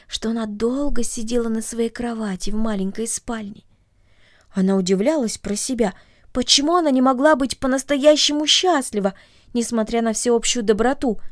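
A woman's voice: medium pitch, a little mellow, no echo, clean studio sound. A woman reading a children's story aloud as an audiobook narrator, in scripted narration.

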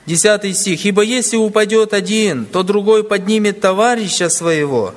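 A man's voice: high voice, normal speed, long reverb tail. A man preaching a sermon to brothers and sisters, weaving in stories of church members he met. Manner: tells anecdotes, quotes people.

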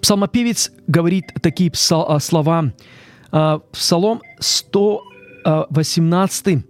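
Guy doing a church radio pledge drive, earnest, keeps saying friends, soft music under him. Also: a little high. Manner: earnest, repeats "friends" often, halting restarts. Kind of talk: live radio fundraising appeal.

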